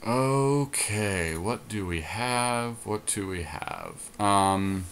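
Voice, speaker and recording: A man's voice: lowish voice, big pitch swings, extremely slow, vocal fry, fairly bright tone, a little hiss or hum. A man co-hosting a podcast, casually commentating on a card game draft and explaining his choices as he thinks aloud. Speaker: podcast co-host.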